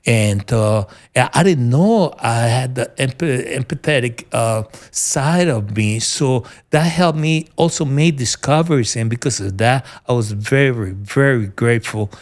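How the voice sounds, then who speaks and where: A man's voice: medium pitch, measured pace, few pauses. Earnest middle-aged New York man. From United States. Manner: earnest, searching for words with fillers and restarts. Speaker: military veteran guest. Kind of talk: conversational interview.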